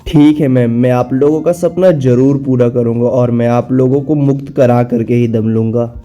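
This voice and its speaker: A man's voice: mid-range voice, fairly even intonation, normal speed, warm tone, close to an everyday voice. A man voicing an eager, polite poor schoolboy with big dreams in a cartoon story, speaking earnestly. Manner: earnest, deferential. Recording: music behind the voice.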